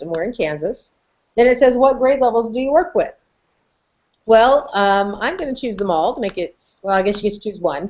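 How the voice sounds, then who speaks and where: Female co-host, middle-aged, upbeat casual demo style, medium pitch, slightly dull video-call sound. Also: a little mellow. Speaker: podcast co-host and educator. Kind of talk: casual chat with tool demonstration. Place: small indoor office, video call. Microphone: computer microphone on video call.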